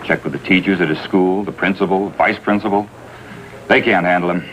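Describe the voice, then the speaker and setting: Brisk American police officer, factual and exasperated. Deep, quick delivery, 1950s crime drama. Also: male voice, steady tone, a bit bright. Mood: weary, factual. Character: businesslike, exasperated male juvenile police officer. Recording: noisy vintage TV soundtrack.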